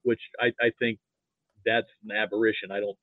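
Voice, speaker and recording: An older American man chatting casually about sports as a podcast guest, halting and repeating himself, over a thin call connection. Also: fairly low pitch, little pitch movement, no room sound. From Nebraska, United States. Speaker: podcast guest, football fan. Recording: thin, narrow phone-like line.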